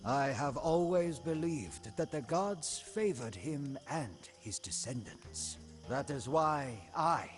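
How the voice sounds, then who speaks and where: An actor playing a proud, devoted commander of a royal guard, narrating a game's storybook in a solemn, deliberate manner. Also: male voice, mid-range voice. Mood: reverent, resolute. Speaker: narrator of a game campaign story. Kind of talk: scripted storybook narration in character. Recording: music behind the voice.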